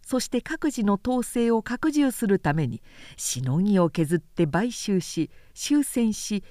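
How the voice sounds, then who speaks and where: Female audiobook narrator, measured and articulate, very dry studio sound. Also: medium pitch, sing-song, warm tone, no echo. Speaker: narrator reading literature aloud. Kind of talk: scripted literary narration, audiobook reading.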